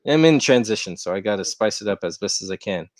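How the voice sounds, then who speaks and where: Animated young American man chatting casually. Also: on the low side, quick pace, no echo. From United States. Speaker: livestream host. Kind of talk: casual chat. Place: rented room at home.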